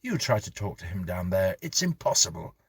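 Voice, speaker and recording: Man acting an exasperated husband, gravelly and emphatic. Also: deep voice, sing-song, fairly quick, slight background noise, heavily acted. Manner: emphatic, indignant. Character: exasperated, resentful husband and father, insistent and scornful.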